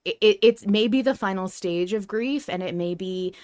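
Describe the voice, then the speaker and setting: A woman's voice: mid-range voice, normal speed, darkish tone, no room sound. A woman co-hosting a podcast, speaking in a casual but analytical way, earnest and emphatic as she builds her points. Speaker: podcast co-host.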